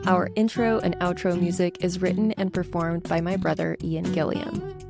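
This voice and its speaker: A woman podcast host reading the closing credits in an even, read-out way. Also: on the low side, normal speed, gravelly. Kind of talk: scripted outro narration. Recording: voice over loud guitar music.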